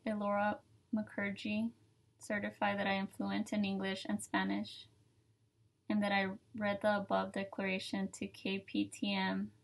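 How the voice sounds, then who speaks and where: A young woman seated at a table, reading a legal declaration aloud in a steady, measured way. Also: mid-range voice, gravelly. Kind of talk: solemn reading of testimony. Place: indoor room with dark curtain, seated at table.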